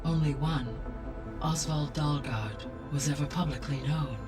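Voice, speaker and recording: Male game AI played by a man, fairly high voice, calm, gentle, formal, precise, prominent music behind. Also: lightly acted. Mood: grave, analytical. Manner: measured, formal, precise delivery. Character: calm, erudite, gentle male artificial intelligence. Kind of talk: scripted video game dialogue.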